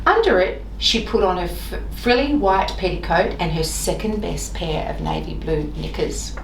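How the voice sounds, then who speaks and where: A woman reading a children's storybook aloud in an expressive, deliberate way, with sing-song repeated phrases. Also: fairly low pitch, vocal fry, distant mic. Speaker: library staff member reading stories. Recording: roomy echo, off-mic, faint noise.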